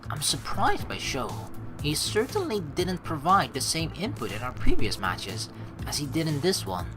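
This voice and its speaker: A man's voice: fairly high pitch, huge pitch swings, normal speed. A man doing casual, rambling, emphatic gaming commentary, swearing now and then, with game music behind him. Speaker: let's play streamer. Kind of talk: gaming commentary, reading game text aloud. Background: game background music.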